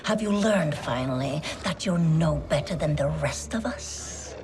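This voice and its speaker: A woman playing a cruel, gloating villain in a video game, gravelly, with a sneering, drawn-out taunting delivery. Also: low-pitched, expressive, noisy background. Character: cruel, gloating female villain, resentful soldier of a tyrant. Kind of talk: dramatic video game acting.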